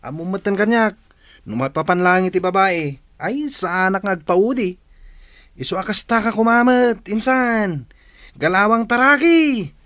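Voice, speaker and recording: High-voiced teasing Ilocano cousin. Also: male voice, animated, moderate pace, a bit bright, no echo, studio quality. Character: teasing, sly, pushy young male cousin. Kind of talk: Ilocano radio drama acting.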